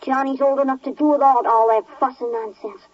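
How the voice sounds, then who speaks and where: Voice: sits high, steady tone, fairly quick, no echo, close to an everyday voice. A performer playing an unhappy, defensive young boy, hesitant and plain-spoken, in a 1950s radio western. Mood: sullen, hurt. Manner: plain-spoken, hesitant, polite country speech. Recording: muffled, distant 1950s radio recording.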